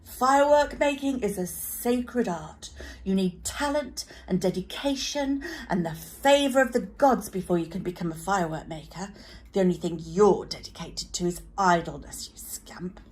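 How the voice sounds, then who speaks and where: A woman's voice: mid-range voice, moderate pace, a little mellow, slightly rough, lightly acted. A woman voicing a stern, irritable master craftsman in a storybook, grand and scolding, with great emphasis. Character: stern, irritable father, master firework maker.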